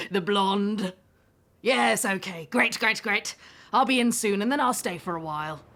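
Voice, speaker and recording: A woman's voice: mid-range voice, unhurried, gravelly, fairly bright tone. A woman playing a brusque, imposing British man in his late forties, with clipped, punchy, staccato delivery in an audio drama. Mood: eager, furtive. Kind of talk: audio drama phone-call dialogue.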